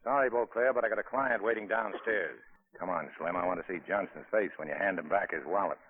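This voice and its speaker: Actor as a fast-talking tough American captain, vintage radio. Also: male voice, sits low, lightly acted. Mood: dry, unbothered. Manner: clipped, dry, sardonic. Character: tough, cynical, wisecracking American boat captain. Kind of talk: 1940s radio acting. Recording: tinny 1940s radio recording.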